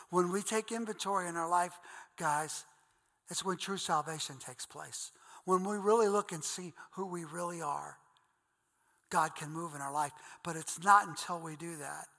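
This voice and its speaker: Bright-voiced Ohio pastor preaching in a hall. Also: male voice, on the high side, moderate pace. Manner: earnest, conversational preaching, addresses listeners as guys. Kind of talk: sermon.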